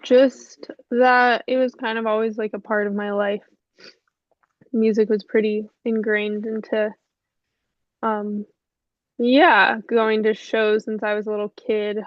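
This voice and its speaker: A young woman musician with a slightly stuffy voice, chatting casually in an interview over a video call, rambling with many fillers and soft laughs. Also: mid-range voice, no echo. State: a little stuffy. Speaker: musician guest. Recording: dull lo-fi call audio, dry.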